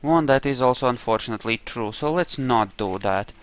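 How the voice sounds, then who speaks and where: A man's voice: mid-range voice, brisk, smooth. A man casually narrating his own video game playthrough, thinking aloud in an offhand, sweary way.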